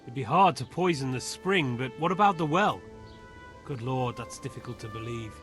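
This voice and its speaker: An actor playing a troubled, emotional village husband in a video game. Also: male voice, mid-range voice, lively intonation, moderate pace, close to an everyday voice. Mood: troubled, disbelieving. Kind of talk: dramatic video game dialogue. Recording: music clearly under the voice.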